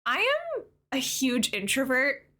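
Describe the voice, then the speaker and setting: A woman's voice: sits high, a little slow, bright, forceful tone. A young woman livestreamer chatting casually with her viewers in a bubbly, talkative way. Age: young adult. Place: live game stream.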